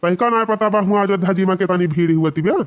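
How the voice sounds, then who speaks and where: Man doing a high-pitched Awadhi village buddy. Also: quick pace, close to an everyday voice. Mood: scheming, teasing. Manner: rustic, chatty, persuasive. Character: carefree, scheming village man, chatty comic buddy. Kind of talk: cartoon comedy dialogue in rustic dialect.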